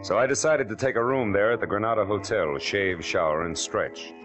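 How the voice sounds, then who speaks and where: An actor playing a wry, hard-boiled American private detective in an old-time radio drama, terse and sardonic. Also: male voice, fairly low pitch, a little fast, natural voice. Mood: wry narrating. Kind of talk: 1940s radio noir narration and acting. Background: music.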